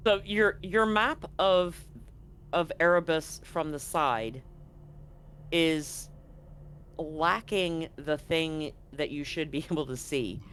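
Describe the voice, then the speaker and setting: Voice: mid-range voice, slow pace, a bit bright, a little hiss or hum. A game master explaining a tabletop roleplaying game in a conversational, explanatory way.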